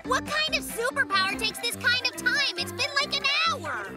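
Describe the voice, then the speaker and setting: An actor voicing an excitable, boastful bear cub in a children's cartoon, declaiming like a mock superhero. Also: very high voice, normal speed, loud music behind the voice. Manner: grandiose, mock-heroic declaiming.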